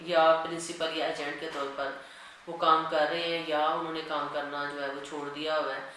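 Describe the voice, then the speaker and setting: A woman's voice: sits low, little pitch movement, fairly quick, bright, forceful tone. A woman with a Pakistani accent giving a law lecture, reading statutes aloud and explaining them in a didactic way, repeating and rephrasing each point. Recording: echoey room, distant mic, faint noise.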